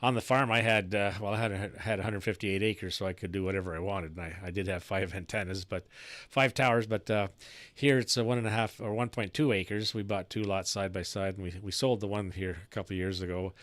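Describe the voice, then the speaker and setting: A man hosting a casual amateur radio chat, talking technical shop in a halting, rambling way into a close studio microphone. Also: lowish voice, steady tone, a bit bright, no echo. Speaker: amateur radio operator and live-stream host. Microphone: close studio broadcast microphone.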